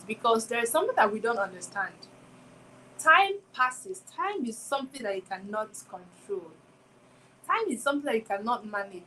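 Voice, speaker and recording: A young woman in her twenties with a slightly high voice talks through a reflective motivational monologue, circling back to the same questions again and again. A faint hum of room noise sits underneath her. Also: fairly bright tone, low-quality recording. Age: 20s. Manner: reflective, poses repeated rhetorical questions. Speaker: podcast host. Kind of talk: solo motivational talk.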